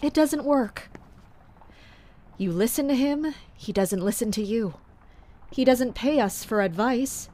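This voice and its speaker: An actress playing a dutiful woman captain of royal guards in an audio drama, measured, blunt and restrained. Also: a little high, mellow. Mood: brooding. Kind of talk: audio drama acting with inner monologue.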